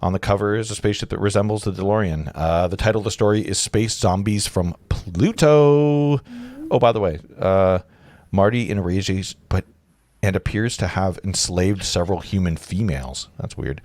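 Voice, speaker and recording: A man with a fairly low voice reads stage directions aloud at a casual table read. He trips over words and corrects himself, and the sound is dry and close. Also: moderate pace, a little mellow, no echo. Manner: stumbling, self-correcting, amused asides. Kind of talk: informal live reading of screenplay directions.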